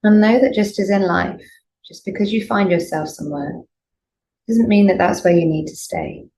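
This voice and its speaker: A woman teaching yoga nidra, leading a guided meditation in a gentle, soothing, unhurried way with pauses. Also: mid-range voice, low-quality recording. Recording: clear background noise, room ambience.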